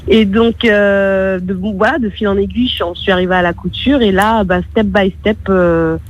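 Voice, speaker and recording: A woman's voice: mid-range voice, fairly even intonation, moderate pace. A woman chatting informally and a little hesitantly as an interview guest. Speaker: guest, singer and self-taught designer. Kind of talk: casual interview chat. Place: remote call near traffic. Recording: dull lo-fi phone call from street.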